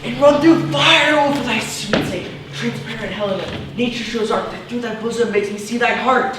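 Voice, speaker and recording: A man playing an ardent young gentleman lover, fervently declaiming Shakespearean stage verse. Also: on the high side, moderate pace, lightly acted. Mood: impassioned. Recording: very echoey, distant mic, background noise.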